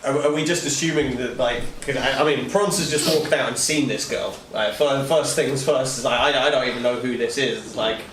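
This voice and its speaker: A man's voice: mid-range voice, a little fast, few pauses, a bit bright. A man's voice comes a little off the mic in a roomy space, with faint noise. He is a player in a tabletop game, informally talking through his character's actions. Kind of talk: casual in-game chat. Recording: echoey, slightly distant mic, background noise.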